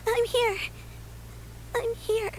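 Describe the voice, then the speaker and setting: A woman's voice: extremely high pitch, level tone, very slow, background noise, heavily acted. A woman voicing a devoted young granddaughter who speaks earnestly and pleadingly in game dialogue. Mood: anxious, concerned.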